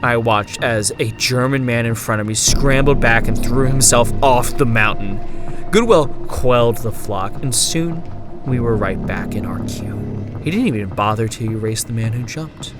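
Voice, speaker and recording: A man playing a haunted, dying survivor who gravely narrates his journal in a horror audio drama, deliberate and intense. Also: medium pitch, over music, close to an everyday voice. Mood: horrified, intense.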